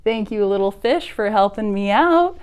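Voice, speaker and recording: A woman's voice: medium pitch, lively intonation, normal speed, darkish tone, smooth. A woman hosting a preschool storytime, speaking straight to small children in simple, encouraging phrases with exaggerated sing-song emphasis. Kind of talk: preschool storytime read-aloud and chat to children.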